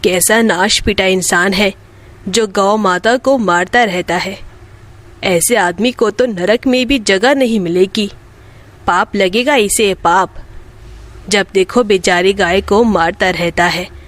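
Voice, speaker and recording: A woman's voice: mid-range voice, slow, pitched below the performer's own voice, lightly acted. A woman voicing a poor, kind-hearted and pious old mother in a children's moral story. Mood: indignant.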